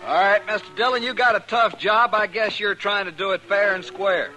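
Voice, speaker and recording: A man's voice: high voice, normal speed, bright, forceful tone, faint music behind, rough recording. An actor playing a proud, desperate cattleman, angry and pleading, in a 1950s radio drama.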